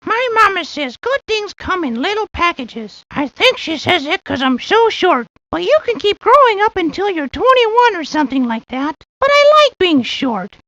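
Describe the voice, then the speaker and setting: A woman's voice: extremely high pitch, very animated, normal speed, hardly any pauses, sharp tone, no room sound, full character voice. A woman voicing an earnest, chatty, always-hungry little boy in scripted lines for a video game. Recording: dull, lo-fi 1990s game audio.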